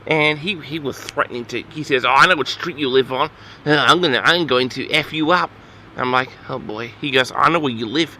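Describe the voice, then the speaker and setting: A man's voice: medium pitch, fairly quick, bright, forceful tone, slight background noise. A man telling a story casually as host of an audio log, rambling in an awkward, flustered, exasperated way.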